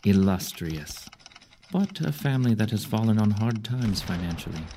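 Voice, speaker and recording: An actor playing an older Roman general in an audio drama, authoritative and deliberate, kindly yet shrewd. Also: male voice, fairly low pitch, animated, background noise. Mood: shrewd, probing.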